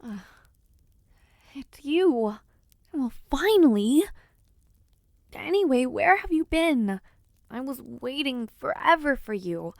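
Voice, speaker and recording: A woman's voice: sits high, lively intonation, slow, creaky, pauses often, a little mellow, no room sound, full character voice. A young woman voicing a spirited, playful noblewoman knight in an audio roleplay, chatty and teasing. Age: young adult.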